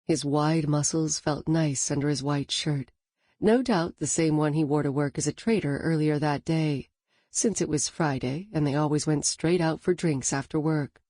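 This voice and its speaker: Woman narrator, calm storytelling, low pitch, dry close studio mic. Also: normal speed, no echo. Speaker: audiobook narrator. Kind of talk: scripted narration of a novel. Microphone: studio microphone.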